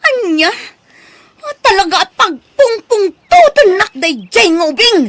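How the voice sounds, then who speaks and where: Woman acting a shrill, scolding Filipino madam. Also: big pitch swings, measured pace, exaggerated voice. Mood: angry, demanding. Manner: scolding, imperious. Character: harsh, accusing, short-tempered mother and madam. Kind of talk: audio drama acting.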